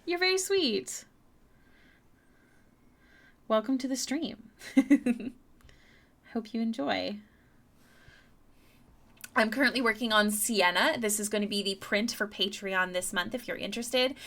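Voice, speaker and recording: A woman's voice: a little high, a little slow, long pauses, a bit bright. A young woman streaming her artwork, chatting casually with viewers and often stumbling over and repeating her words. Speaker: streaming artist. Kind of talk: casual live-stream chat while drawing.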